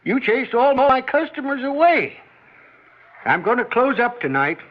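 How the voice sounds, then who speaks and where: An actor playing a gruff, anxious old diner owner and grandfather in a 1950s radio drama. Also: very high-pitched, sing-song, measured pace, full character voice. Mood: agitated gruff. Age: older. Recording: dull old radio recording.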